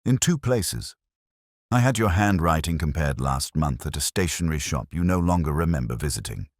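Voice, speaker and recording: A man plays a composed woman in an audiobook story, smooth and exacting as she confronts wrongdoers. The voice stays low, with measured words and rising and falling emphasis in a dry recording. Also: expressive, dry recording, exaggerated voice. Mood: calm resolve.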